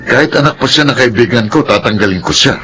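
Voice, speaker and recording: A man's voice: mid-range voice, animated, quick pace, pushed and bright, a little hiss or hum, low-quality recording. A man playing a stern, protective middle-aged father and boss in a Filipino radio drama. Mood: firm.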